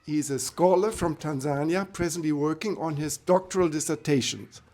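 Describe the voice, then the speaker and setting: A man hosting a podcast interview, asking measured, formal questions. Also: fairly high pitch, darkish tone. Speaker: podcast host.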